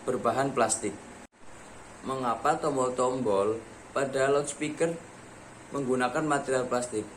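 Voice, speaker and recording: A man's voice: medium pitch, slight background noise, low-quality recording. A man reading a scripted educational voice-over about materials, stepping steadily through a list of points. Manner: reads steadily from a script, listing steps in order. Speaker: student presenter.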